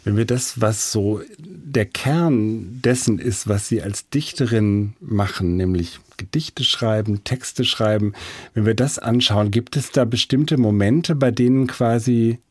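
A man presenting and interviewing on the radio, speaking in measured, formal phrases. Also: lowish voice, breathy edge, no room sound. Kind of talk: scripted radio introduction and interview questions.

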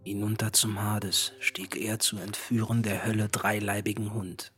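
An actor playing a grave narrator of Greek tragedy, recounting solemnly in formal verse for a radio drama. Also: male voice, fairly low pitch, level tone, unhurried, a bit bright, faint music behind. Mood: grave, ominous.

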